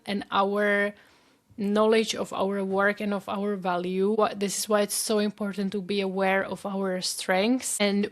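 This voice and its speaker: Woman with a Czech accent, podcast host, earnest and conversational, mid-pitched voice, talks straight to listeners. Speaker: podcast host and career coach. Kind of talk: solo podcast talk.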